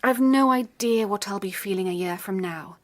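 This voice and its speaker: An actress playing a gentle but quietly firm young Victorian gentlewoman, speaking in a radio drama. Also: medium pitch, moderate pace, close to an everyday voice. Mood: soft, firm.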